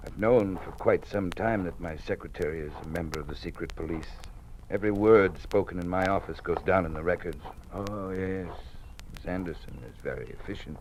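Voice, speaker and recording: An actor playing a weary, cautious old college dean in an old-time radio drama, speaking in a measured, formal, fatherly manner. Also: low voice. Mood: weary, confiding. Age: older. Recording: noisy vintage radio drama recording.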